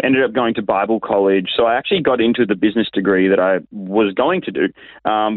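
Over a narrow, phone-like connection, an Australian man talks in a fairly low voice. He is an interview guest, a pastor recounting his experiences conversationally, with little room echo. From Sydney, Australia. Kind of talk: casual conversational storytelling. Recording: tinny narrow phone-like line.

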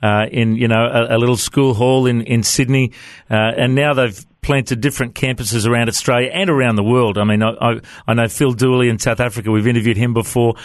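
A man's voice: mid-range voice, fairly even intonation, a little fast, dry recording. Enthusiastic male radio host, dry sound. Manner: enthusiastic, hesitant fillers. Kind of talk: radio interview.

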